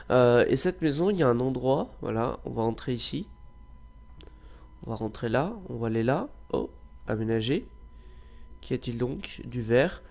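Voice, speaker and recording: A man's voice: medium pitch, a little mellow. A man with a cold giving casual, rambling gameplay commentary, hesitating often with filler sounds. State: has a cold. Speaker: gameplay video creator.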